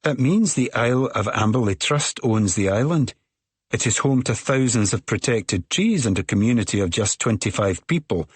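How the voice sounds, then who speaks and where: A man's voice: mid-range voice, lively intonation, moderate pace, husky, dry recording, pitched above the performer's own voice. A man voicing a tall, weathered, middle-aged Scottish ferry woman who speaks in a curt, matter-of-fact way. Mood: brusque.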